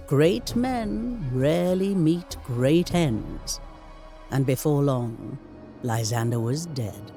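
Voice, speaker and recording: A man playing a wry, weary storyteller who narrates ancient wars in a dramatic, measured way for a game cutscene. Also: a little high, vocal fry, mellow, heavily acted. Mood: solemn, ominous. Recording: music behind the voice.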